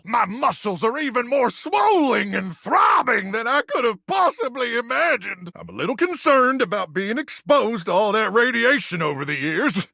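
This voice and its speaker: Gravelly, bombastic wasteland warrior. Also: male voice, high voice, bright, forceful tone, dry recording, clean studio sound, full character voice. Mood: overjoyed. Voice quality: gravelly, raspy. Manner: bombastic, over-earnest military bravado. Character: gung-ho, earnest, unhinged post-apocalyptic muscle-bound male wasteland warrior. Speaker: voice actor. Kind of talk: video game character acting.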